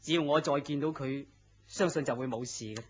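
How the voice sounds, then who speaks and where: A man's voice: mid-range voice, brisk, slightly rough, lightly acted. A man with a Hong Kong Cantonese accent playing a weary, melancholy, lovesick young man in a radio drama, earnest and plaintive. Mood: weary, despondent. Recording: dull lo-fi radio drama audio.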